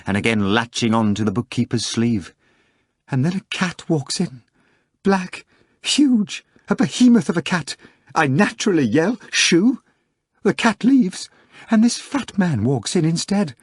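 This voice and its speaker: A woman's voice: very deep voice, no echo, studio quality. A man voicing a hysterical young woman secretary, shrieking and wailing, a little nasal from crying.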